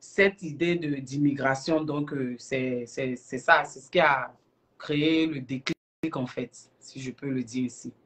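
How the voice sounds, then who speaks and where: A middle-aged woman with an Ivorian accent, speaking earnestly and deliberately as a guest in a livestream interview. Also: a bass voice, steady tone, fairly bright tone. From Ivory Coast. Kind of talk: explanatory interview talk on a livestream.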